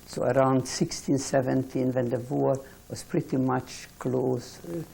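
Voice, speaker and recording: Deep gravelly woman in her eighties. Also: level tone, mellow, slight background noise, poor audio. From Hungary. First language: Hungarian. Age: 80s. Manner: slow, halting recollection with restarts. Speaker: interviewee. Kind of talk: oral history testimony.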